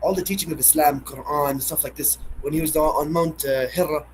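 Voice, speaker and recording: A man in a casual talk who speaks quickly, breaks off mid-word and hunts for the right phrase. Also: on the high side, fairly even intonation, a little hiss or hum. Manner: fast, gropes for words, trails off. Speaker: conversation partner. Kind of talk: casual conversation about religion.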